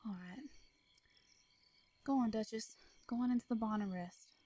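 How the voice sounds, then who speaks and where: A woman playing a caring, protective young female farmer in a roleplay audio drama, with a Southern US accent and a soft, hesitant, folksy way of talking. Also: fairly high pitch, normal speed, slightly rough, dry recording. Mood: subdued, hesitant.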